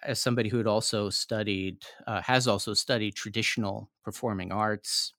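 Brief: low-pitched male scholar, thinking aloud, halting and searching, dry close sound. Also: fairly even intonation, fairly bright tone, no echo. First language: not Japanese; learned Japanese as foreign language. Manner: hesitant, many fillers and restarts. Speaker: author guest. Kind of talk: academic interview answer.

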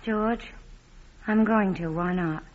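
An actress playing a spirited, haughty society bride in old-time radio drama, with theatrical, imperious delivery. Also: female voice, medium pitch, slow pace, lightly acted. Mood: swept away. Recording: muffled, noisy vintage radio broadcast recording.

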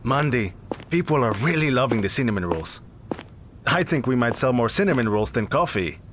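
Audio drama: expressive, medium-pitched young man played by an actor, a loyal, dramatic, teasing cafe owner. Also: normal speed, background noise, close to an everyday voice. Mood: friendly, apologetic. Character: friendly, dramatic cafe owner, loyal and exasperated best friend. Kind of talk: naturalistic audio drama acting.

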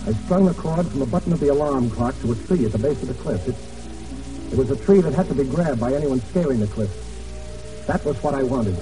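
An actor in an old radio drama playing a hunted American Navy man in hiding, weary but determined, speaking in a halting, earnest way. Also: medium pitch, animated, close to an everyday voice. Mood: haunted, grim. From United States. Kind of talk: old radio drama acting, first-person narration and dialogue. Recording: old radio broadcast, distant, music behind.

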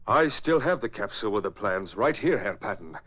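An actor playing a wary, vengeful Nazi spy in a 1940s radio drama. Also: male voice, on the low side, very animated, fairly quick. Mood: gloating, menacing. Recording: dull old 1940s radio recording.

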